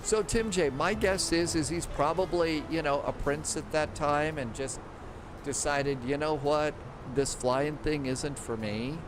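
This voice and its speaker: A man's voice: on the high side, a little slow, background noise. A man hosting a live flight-sim stream, reading viewer comments and rambling in a casual, thinking-aloud way. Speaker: livestream host. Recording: clear background music.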